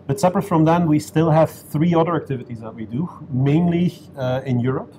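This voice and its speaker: A man from Belgium, a company executive and non-native speaker, answering interview questions with many pauses and fillers. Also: a little high, background noise. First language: Dutch. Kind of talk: casual interview.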